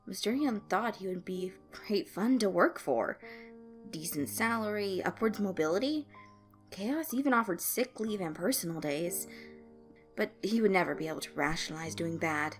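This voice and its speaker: A woman's voice: fairly low pitch, lively intonation, normal speed. A woman reading scripted fan fiction as a storyteller. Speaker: narrator. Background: background music.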